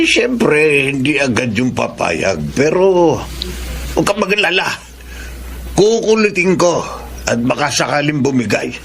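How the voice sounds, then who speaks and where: A man's voice: on the high side, gravelly, fairly bright tone, full character voice. An actor playing a pushy, scheming middle-aged Filipino father in a radio drama, talking in a colloquial, wheedling, exclamatory way. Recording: faint background noise.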